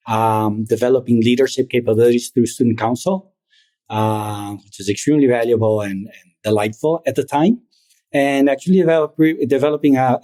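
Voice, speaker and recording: A middle-aged man born in Argentina, a private equity founder telling his story in a measured, reflective way as an interview guest. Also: medium pitch, dark tone. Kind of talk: interview conversation.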